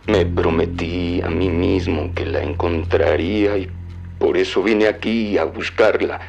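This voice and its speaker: A man's voice: low voice, fairly even intonation, measured pace, creaky, a little mellow, slight background noise. An actor playing a grave, regretful father making a solemn confession on an old cassette in an audio drama. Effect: old cassette tape filter. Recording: dull lo-fi cassette tape, faint music.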